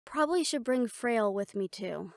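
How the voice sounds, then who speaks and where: A woman's voice: fairly high pitch, animated, moderate pace, vocal fry, no echo, studio quality. A woman playing a confident, playful siren-banshee warrior in a conversational audio roleplay, talking intimately to the listener. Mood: proud, amused.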